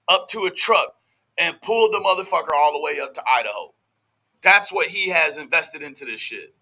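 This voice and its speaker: A man's voice: on the high side, pushed and bright, poor audio. A man giving casual, profane reaction commentary as host of a true crime show, in a brash, folksy, slangy way.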